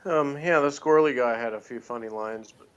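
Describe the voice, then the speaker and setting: A man's voice: medium pitch, a little fast, a bit bright, smooth, dull audio. A man in casual, rambling chat as co-host of a review show, with a wry manner. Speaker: podcast co-host reviewing TV episodes.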